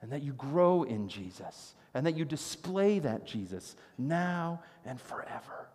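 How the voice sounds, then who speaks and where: A man in his thirties preaching a sermon from a church stage, telling stories with animated gestures. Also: on the high side, a little slow. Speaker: pastor. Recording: long reverb of a church hall.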